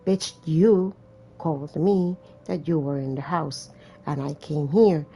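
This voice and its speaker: Low, creaky-voiced woman gamer. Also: takes it slowly, warm tone, muffled recording. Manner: irreverent, sweary asides. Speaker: gamer reading a visual novel aloud. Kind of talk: let's-play reading with casual commentary.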